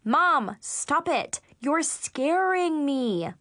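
A woman's voice: sits high, expressive, measured pace, pushed and bright, dry recording, studio quality, voice pushed up, exaggerated voice. A woman voicing a dramatic, whiny teenage girl in a story, easily exasperated and protesting. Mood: alarmed.

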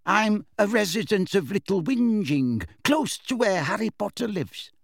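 A man's voice: high-pitched, animated, measured pace, vocal fry, no echo, studio quality, voice pushed up, exaggerated voice. A man voicing a nervous, batty old woman in an audiobook, with a quavery voice. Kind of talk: audiobook character voice.

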